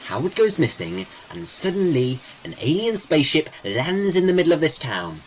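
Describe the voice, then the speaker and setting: A man's voice: a little high, big pitch swings, moderate pace, a little hiss or hum, poor audio. A man playing an anxious, stubborn nephew searching for a missing loved one, with a nervous, dramatic manner, in an audio drama. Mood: agitated, stubborn.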